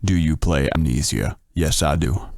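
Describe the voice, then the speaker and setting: Man doing a low, slow-crooning radio-show seducer, dry and close. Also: darkish tone, no echo. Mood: sultry. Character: smooth deep-voiced male late-night radio host. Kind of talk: joking put-on voice for a livestream. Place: home at night.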